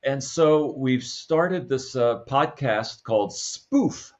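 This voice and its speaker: Male comedy producer as podcast guest, mid-pitched, halting casual talk, slightly dull recording. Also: lively intonation. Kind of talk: casual chat.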